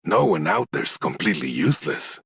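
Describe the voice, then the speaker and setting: A man voicing a practical, kindly philosophical junk sorter in a game, with a gravelly, husky voice. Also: low voice, very animated, fairly quick, a bit bright, heavily acted. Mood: reflective, assured. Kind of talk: scripted game character lines.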